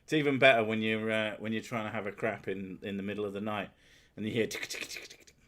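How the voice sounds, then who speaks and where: Loud, sweary man chatting. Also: fairly low pitch, level tone, quick pace. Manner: sweary, self-mocking, loud laughing. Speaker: streamer host. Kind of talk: casual chat with partner and chat. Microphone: headphones and desk mic.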